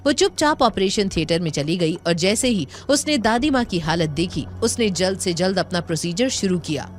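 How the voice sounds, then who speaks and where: A woman's voice: fairly low pitch, lively intonation, normal speed. A woman reading scripted story narration as the narrator of an audio drama.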